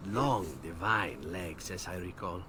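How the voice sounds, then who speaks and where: An actor playing a roguish, flirtatious assassin in a video game, telling tales in a suave, glib, teasing way. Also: male voice, low-pitched, unhurried, heavy background noise. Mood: sly storytelling. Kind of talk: video game dialogue acting.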